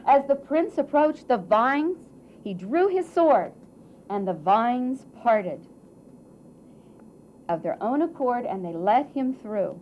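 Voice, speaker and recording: A woman narrating a children's fairy tale at a live puppet show, with dramatic, drawn-out phrasing that swells and hushes for emphasis. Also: a little high, animated. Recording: dull, noisy live event recording.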